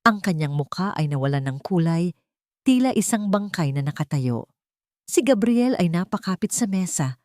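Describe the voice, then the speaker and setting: Woman, low-pitched, dramatic story narration, measured, literary, dry sound. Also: expressive, a little mellow, dry recording.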